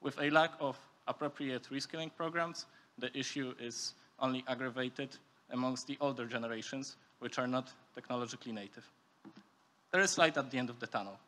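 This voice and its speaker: A man's voice: mid-range voice, steady tone, brisk, sharp tone. A young man from Poland delivers a prepared, formal and structured speech as a student speaker. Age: young adult. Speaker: student speaker at a youth forum.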